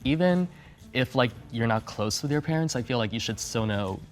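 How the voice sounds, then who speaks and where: A man, a schoolteacher, speaking in a measured way with hesitant fillers. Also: mid-range voice, animated. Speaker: second grade teacher, game contestant.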